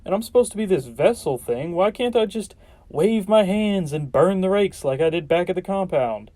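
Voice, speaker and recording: A reluctant chosen one in an audio drama, sardonic and exasperated. The voice is fairly high for a man, warm and relaxed in tone, recorded dry and close. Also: normal speed, dry recording. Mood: sarcastic, exasperated. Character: sarcastic, skeptical reluctant chosen vessel, a man.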